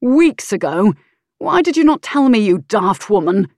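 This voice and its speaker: A woman's voice: mid-range voice, normal speed, no echo, natural voice. A woman voicing an arrogant, selfish nobleman in an audiobook, sneering and commanding. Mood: angry.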